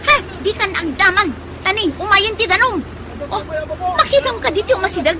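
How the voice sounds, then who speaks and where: A woman's voice: very high voice, lively intonation, brisk, over a noisy crowd. A woman with an Ilocano accent playing a frantic passenger on a sinking ferry in a radio drama, with urgent exclamations. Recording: noisy outdoor sound effects behind.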